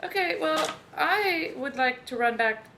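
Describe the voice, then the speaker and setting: A woman's voice: high voice, lively intonation, a little slow, gravelly, pushed and bright, a little hiss or hum. A woman chatting casually as a player in a tabletop game. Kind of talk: casual banter during a game. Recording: roomy, with music behind.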